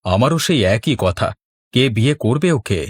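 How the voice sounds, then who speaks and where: A man voicing a worried, mild middle-aged village father in a cartoon, speaking in a measured, earnest way. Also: medium pitch, animated, husky, no echo, studio quality. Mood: pensive. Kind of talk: scripted Bengali cartoon dialogue.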